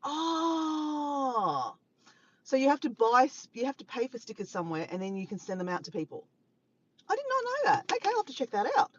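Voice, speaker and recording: A woman's voice: high voice, very animated, normal speed, highs cut off, slight background noise. An Australian woman live-streaming in casual chat, rambling and laughing as she reads out viewers' comments. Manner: chatty, rambling, laughs often, greets viewers.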